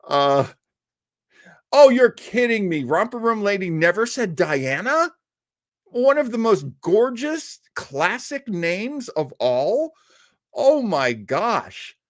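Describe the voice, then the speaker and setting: A man's voice: sits high, sing-song, takes it slowly, dry recording, studio quality. A middle-aged man hosting a live show, chatting casually and playfully with his viewers in a jokey, easygoing way. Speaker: host of a live old-time radio listening show.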